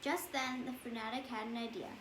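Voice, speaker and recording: A woman's voice: a little high, fairly even intonation, brisk. A woman reading a bedtime story aloud, stumbling a little over words and correcting herself. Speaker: storybook reader. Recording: echoey room with faint background noise.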